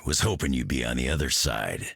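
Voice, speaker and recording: A man voicing a tough, taunting clawed mutant fighter in a video game, with a gruff, growling delivery. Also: animated, fairly quick, fairly bright tone, no echo, clean studio sound, full character voice. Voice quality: very deep, gravelly growl. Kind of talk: video game character dialogue.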